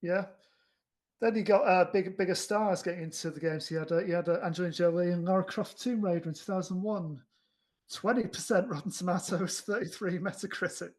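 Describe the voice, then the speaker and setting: Man, fairly high-pitched voice, podcast host, casual film chat, halting, stumbling restarts. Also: a little mellow. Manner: hesitant, halting delivery with repeated stutters and restarts. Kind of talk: casual chat reviewing films.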